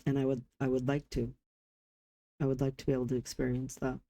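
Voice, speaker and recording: Dark, gravelly man of forty, even-toned. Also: mid-range voice. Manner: halting, reflective, short phrases with pauses. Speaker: vlogger. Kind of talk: personal reflective vlog talk.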